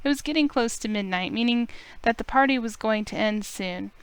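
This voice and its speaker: A woman's voice: on the high side, brisk, smooth, no echo. A woman reading a visual novel aloud as dramatic first-person narration, with music behind her. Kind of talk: dramatic narration of a game's text.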